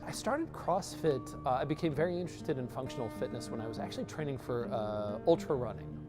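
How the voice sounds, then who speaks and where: A man's voice: medium pitch, animated, brisk. Man, 40s, gym coach, rambling, lots of fillers, music bed behind. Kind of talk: interview testimonial.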